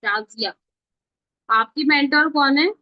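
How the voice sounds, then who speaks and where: Woman online instructor, higher voice, informal step-by-step teaching with check-in questions; close dry sound, slightly muffled call quality. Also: slow pace, no echo. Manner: instructional, checking understanding often. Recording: dull lo-fi online call audio.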